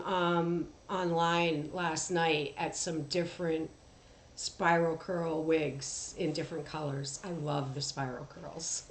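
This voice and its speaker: A woman chatting casually on a livestream, congested from allergies, rambling with many ums and throat clears, recorded through a headphone mic across the room at home. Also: medium pitch. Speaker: livestream host. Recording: echoey room, faint background noise.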